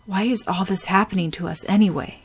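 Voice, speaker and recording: A woman voicing a gruff, protective young man who speaks in a low-key way. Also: steady tone, a little fast. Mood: brooding.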